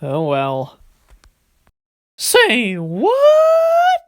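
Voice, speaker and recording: A man's voice: very high voice, wildly swooping pitch, very slow, no echo, studio quality, exaggerated voice. A man playing a cocky card duelist in an anime-style series, speaking in a theatrical, smug, declamatory way. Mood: defiant. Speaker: voice actor in a fan dueling series. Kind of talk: anime-style dramatic battle dialogue.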